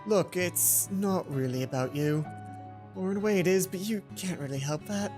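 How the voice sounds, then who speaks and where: A let's play host voicing a haughty, awkwardly formal schoolboy from a visual novel, halting and drawn out. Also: medium pitch, over music, natural voice. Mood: aloof.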